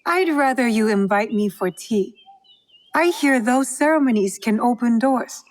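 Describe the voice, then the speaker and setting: A woman's voice: fairly high pitch, expressive, a little slow. An actress playing a bold, composed woman posing as a trader in a video game, polite and self-assured. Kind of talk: video game cutscene acting.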